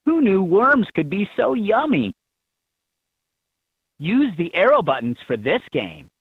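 A woman's voice: mid-range voice, very animated, slow, husky, no room sound, exaggerated voice. A woman voicing an encouraging instructor in a children's animal game, giving scripted instructions in slightly dull game audio. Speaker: educational game voice.

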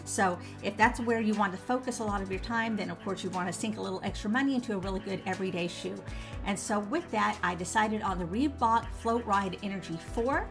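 A woman in her forties talks straight to camera from a living room lined with bookshelves, her voice still a little rough from a recent flu. She sounds upbeat and leans on key words. Faint music plays underneath. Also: medium pitch, brisk. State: recovering from flu, voice a bit rough. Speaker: running channel host. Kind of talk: scripted vlog talk to camera.

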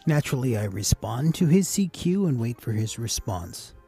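A man giving a casual, measured instructional voice-over as an amateur radio operator hosting a tutorial. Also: medium pitch, very animated, vocal fry, dark tone, husky, faint music behind.

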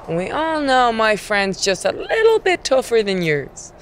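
A woman's voice: a little high, huge pitch swings, unhurried, slight background noise, poor audio. An actress playing a brash, cocky woman wrestler in a video game, taunting and swaggering. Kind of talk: scripted video game cutscene acting.

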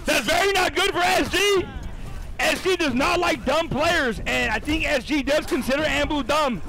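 A young man commentating a live game tournament and chatting with viewers, excitable and profane, losing his voice. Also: very high-pitched, moderate pace, gravelly, rough recording. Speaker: streamer casting a tournament.

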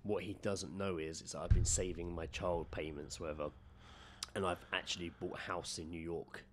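A man's voice: low voice, little pitch movement, normal speed, slight background noise. A British man co-hosting a comedy podcast, chatting casually and telling anecdotes in a matey, sweary way.

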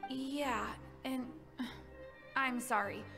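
A woman's voice: high-pitched, steady tone, very slow, loud music behind the voice, full character voice. A woman voicing a timid, frightened young bookworm in a video game, meek, hesitant and apologetic. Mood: timid, pleading. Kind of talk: video game character acting.

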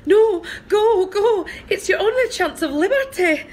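Woman playing a very high-voiced earnest Scottish farm wife. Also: animated, unhurried, darkish tone, slight background noise. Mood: urgent, pleading. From Glasgow, Scotland. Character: earnest, wistful young Scottish farmer's wife, timid but kind. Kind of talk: homemade radio play acting.

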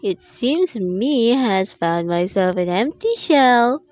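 A woman's voice: a little high, animated, slow, a little mellow, dry recording, studio quality, soft background music. A woman voicing a teasing, guilt-ridden older brother turtle hero in a theatrical, pleading way for a fan comic dub. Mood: playful gushing.

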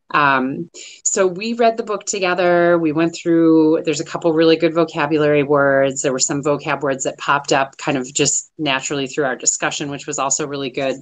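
A woman's voice: low voice, poor audio. A woman in her forties giving a casual, explanatory report over a phone video call. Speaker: participant in a read-aloud discussion group. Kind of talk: casual spoken report on an activity. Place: small room at home, on a video call.

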